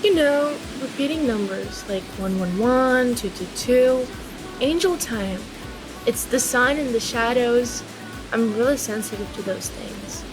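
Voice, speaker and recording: A carefree teenage girl acted by a woman in a drama table read. Her voice is high and sweet, she takes her time over the words, and music plays clearly behind her. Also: a little slow, natural voice, rough recording. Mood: dreamy, confiding. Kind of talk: film script table read.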